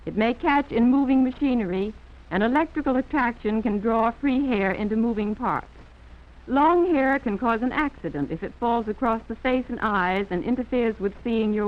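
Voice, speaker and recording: An American woman reading scripted 1940s instructional film narration in a formal, instructive manner. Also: fairly high pitch. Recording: muffled, tinny 1940s film soundtrack.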